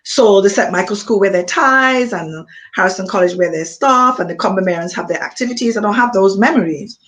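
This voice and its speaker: A woman from Montserrat with a Montserratian accent, an author being interviewed, speaking thoughtfully with frequent pauses and ums. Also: medium pitch, fairly bright tone. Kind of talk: reflective conversation.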